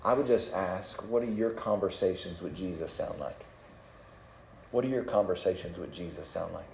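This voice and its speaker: A male pastor preaching a sermon in a calm, conversational way, self-deprecating and often repeating words. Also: low-pitched, normal speed, creaky, roomy sound, slight background noise, poor audio. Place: church sanctuary.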